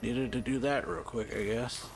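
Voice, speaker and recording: A man doing a casual let's play, reading game dialogue aloud in a halting, offhand way. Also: mid-range voice. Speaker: let's player. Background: game music.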